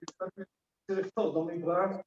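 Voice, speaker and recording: A middle-aged man giving a funeral eulogy for his mother, grieving and halting, with repeated words and long pauses. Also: high-pitched, level tone. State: grieving, emotionally moved. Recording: echoey hall, distant dull mic.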